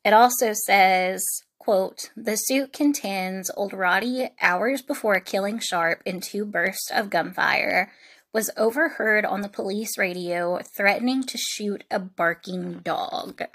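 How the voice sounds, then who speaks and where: Slow-paced woman telling true crime conversationally. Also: mid-range voice, a bit bright. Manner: informal, reflective, halting phrasing. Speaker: true crime podcast host.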